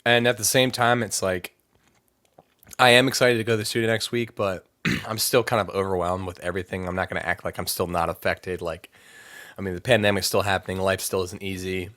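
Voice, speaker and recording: A man talking casually in an interview, relaxed and halting, often restarting his sentences. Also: low voice, dry recording, studio quality. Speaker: guest vocalist.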